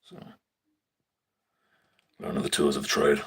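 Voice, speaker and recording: Young man, very deep husky voice, flat delivery, casual rambling tutorial with swearing, phone mic. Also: measured pace. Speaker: stage tech student. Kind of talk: casual live screen walkthrough. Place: indoors at a screen. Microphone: phone filming a screen.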